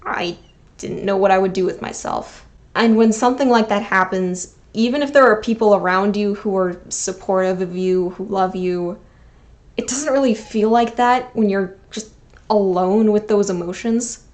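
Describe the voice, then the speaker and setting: A woman's voice: medium pitch, normal speed, a little mellow. A woman in her twenties narrating a personal video essay as channel host, earnest and sentimental with wry, self-deprecating asides. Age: 20s.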